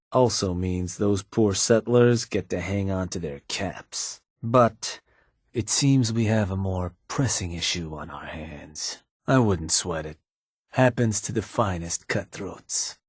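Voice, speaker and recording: An actor playing a shrewd, sardonic gang advisor in a video game, talking in a laconic, folksy, matter-of-fact way about ruthless business. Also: male voice, low voice, a little mellow, husky, no room sound, clean studio sound. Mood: wry, coolly ruthless. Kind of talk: video game character dialogue.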